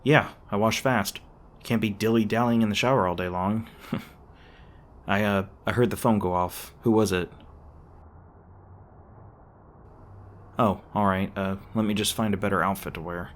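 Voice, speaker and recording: A man acts out an edgy monster hunter in an audio roleplay. His voice sits fairly low, and his talk is sarcastic and brash. Underneath he is protective and soft-hearted, stumbling over words when flustered. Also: steady tone, moderate pace, slight background noise, close to an everyday voice. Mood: casual, teasing. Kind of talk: ASMR roleplay voice acting.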